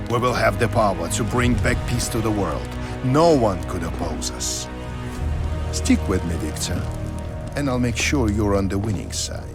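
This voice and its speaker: An actor playing a ruthless, cynical military spymaster in a video game, with a deliberate, menacing and theatrical delivery. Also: male voice, lowish voice. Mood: smug, persuasive. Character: ruthless scheming male military spymaster, cynical about war. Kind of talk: dramatic video game cutscene acting.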